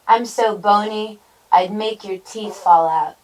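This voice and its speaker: A woman's voice: medium pitch, slow pace, recorded from a distance, a little hiss or hum, close to an everyday voice, poor audio. A woman voicing a cheeky, bold young male billy goat with a singsong bleat in a children's storybook. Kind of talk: children's storybook read-aloud.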